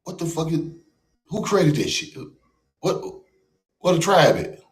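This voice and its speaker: A man's voice: fairly high pitch, big pitch swings, measured pace. A man from the United States hosting a livestream, ranting in a mocking, confrontational and profane way. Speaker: livestream host. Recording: roomy echo.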